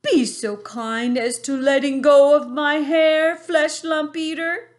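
A woman doing the BFG, high and earnest. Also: fairly even intonation, slow. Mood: pleading. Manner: mangled grammar, earnest pleading. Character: big friendly male giant, gentle, garbled grammar.

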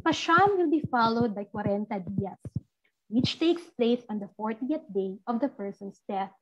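A woman from the Philippines with a Filipino accent and husky voice, reading a scripted presentation over lo-fi call audio. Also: fairly high pitch. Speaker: panel presenter. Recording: dull lo-fi video call audio.

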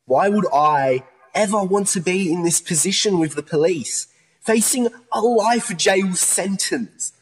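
A man's voice: high-pitched, unhurried, husky. A man acting in a modern radio play as a distressed, earnest young man pleading his innocence over a split personality. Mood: desperate pleading.

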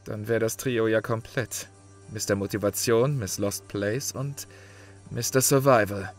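A man's voice: on the low side, fairly even intonation, a little slow, faint music behind. An actor playing a sober, pragmatic male survival contestant in a horror audio drama, speaking matter-of-factly. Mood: puzzled, wry. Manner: matter-of-fact.

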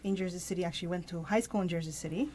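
A woman's voice: lowish voice, darkish tone, a little hiss or hum. A woman in her forties from Poland, a guest on a radio show, explaining methodically into a studio mic. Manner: methodical, halting with fillers. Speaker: guest, tech co-founder. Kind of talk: radio interview. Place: small padded radio studio. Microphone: studio mic with pop filter.

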